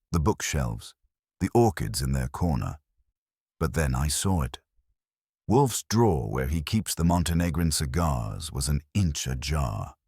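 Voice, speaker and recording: A man reading a first-person detective story in a deliberate, dramatic, hardboiled storytelling manner. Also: rumbling low, darkish tone, husky, no room sound, studio quality. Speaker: audiobook narrator.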